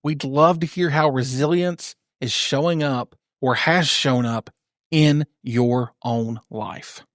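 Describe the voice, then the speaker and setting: A man hosting a podcast, steady and affirming, wrapping up and steering the show. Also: medium pitch, slow, no room sound, studio quality. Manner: steady, affirming host who keeps the show moving. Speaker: podcast host. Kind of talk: casual podcast chat with a scripted outro.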